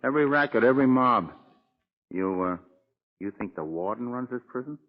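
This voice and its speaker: A man's voice: medium pitch, animated, normal speed, close to an everyday voice. An actor playing a boastful, menacing convicted killer with cocky tough-guy slang in 1940s radio crime drama style. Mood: sly, bragging. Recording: muffled tinny old radio recording.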